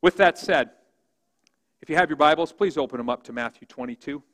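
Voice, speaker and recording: Big-voiced pastor preaching in a hall. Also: male voice, fairly high pitch, a little fast. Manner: big voice, swings between loud emphasis and quiet reading. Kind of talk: sermon. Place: church sanctuary.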